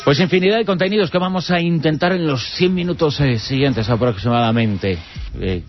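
A man's voice: fairly high pitch, expressive, fairly quick, soft background music. A man hosting a late-night radio talk show from a studio, introducing guests with many hesitant fillers. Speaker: radio host. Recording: dull lo-fi radio broadcast.

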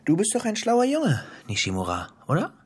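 An actor playing a menacing, philosophizing crime boss in a German radio drama, theatrical and toying, often sinking into a breathy whisper. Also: male voice, high-pitched, wildly swooping pitch, normal speed, noisy background. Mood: playful, commanding. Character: menacing male crime boss, playful, philosophizing, coldly cruel.

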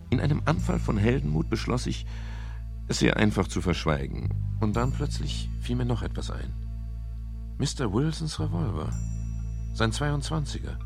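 An actor playing a middle-aged, world-weary private detective in a German radio crime drama, narrating and talking in a dry, wry, musing way. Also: male voice, sits low, vocal fry.

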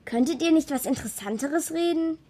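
A woman's voice: high voice, brisk, mellow, close to an everyday voice. A female performer playing a cheeky, precocious eight-year-old daughter in a children's radio play, pert and teasing. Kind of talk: radio drama dialogue. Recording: studio radio play with music underneath.

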